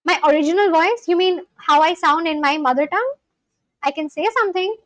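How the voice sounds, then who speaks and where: A young woman, a native Bengali speaker, talks casually into a close microphone with a high, light voice. She gasps and exclaims with excitement as she reads out comments. The sound is dry, with no room echo. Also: a little fast. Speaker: live streamer learning Spanish. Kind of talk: casual live-stream chat reading comments.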